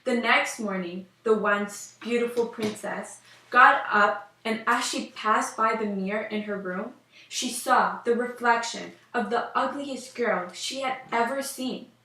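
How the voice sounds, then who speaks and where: Young female storyteller, scripted fairytale narration, high voice, recorded at a distance from the mic. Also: unhurried, pushed and bright. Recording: roomy echo, distant microphone.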